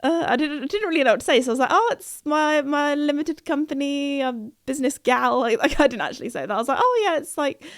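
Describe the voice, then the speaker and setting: Rambling woman, slightly croaky. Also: high-pitched, fast, few pauses, no room sound, studio quality. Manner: rambling, jumps between topics. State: slightly croaky from a starting cold. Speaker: podcast host. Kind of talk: casual chat.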